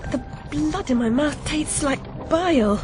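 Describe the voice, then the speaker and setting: High-voiced, haunted young heroine. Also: female voice, measured pace, noisy background. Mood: troubled. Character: troubled, defiant young woman, sharp-tongued and haunted. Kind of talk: dramatic video game acting. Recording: loud music under the voice.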